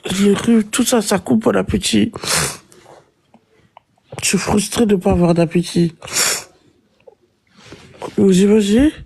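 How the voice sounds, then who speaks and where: Stuffy-nosed man streaming live, mellow tone. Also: sits high, fairly even intonation, slow, vocal fry, long pauses, breathy edge. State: stuffy with a head cold. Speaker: livestreamer. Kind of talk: casual live-stream talk.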